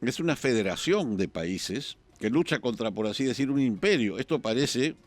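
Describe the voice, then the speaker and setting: Un periodista peruano, invitado en un programa de debate político, que habla pausado y piensa en voz alta entre muletillas. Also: male voice, mid-range voice, expressive. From Peru. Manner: measured, thinking aloud with pauses and fillers. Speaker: journalist guest. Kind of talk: political talk-show discussion.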